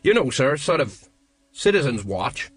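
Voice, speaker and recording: A man's voice: mid-range voice, sing-song, measured pace, slightly rough, no echo. A man voicing an anxious, deferential middle-aged sergeant, hesitant and flustered.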